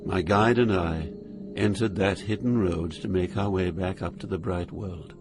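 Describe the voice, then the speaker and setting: Dante, low, solemn pilgrim narrator. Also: male voice, fairly even intonation. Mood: quiet wonder. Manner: solemn, measured. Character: Dante, grave awestruck male pilgrim recounting Hell. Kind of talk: dramatic scripted narration of an epic poem. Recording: loud music under the voice.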